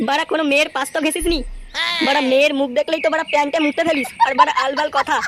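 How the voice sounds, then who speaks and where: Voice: high voice, flat delivery, rapid-fire, over a noisy crowd, lightly acted. A performer voicing a crude, grumbling young village slacker in a comedy cartoon. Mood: irritated, mocking. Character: foul-mouthed lovelorn young village slacker. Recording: loud music behind the voice.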